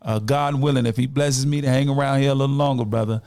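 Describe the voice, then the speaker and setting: A man's voice: mid-range voice, fairly even intonation, fast, darkish tone, dry recording. Man hosting, dry close sound. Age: late 50s, nearly 60. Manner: exhortatory, addresses listeners as brothers and sisters. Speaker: podcast host. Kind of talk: community podcast talk with announcements and shout-outs.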